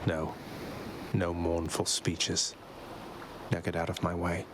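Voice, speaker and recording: Low-voiced, wry young prince. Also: male voice, completely flat delivery, takes it slowly, noisy background. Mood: defiant. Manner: dry, smooth, sardonic. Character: young underworld prince, wry, cocky, rebellious.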